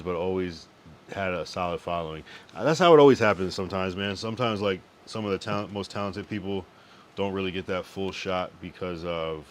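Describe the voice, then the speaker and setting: Deep-voiced laid-back streamer man. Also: a little hiss or hum. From Connecticut and Massachusetts, US East Coast. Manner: laid-back, profane, repeats phrases. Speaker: live streamer. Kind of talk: loose late-night stream chat.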